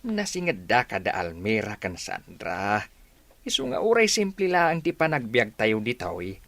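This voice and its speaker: An actor playing an easygoing poor village father in a radio comedy drama, chatting in a relaxed way. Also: on the low side, measured pace, heavily acted.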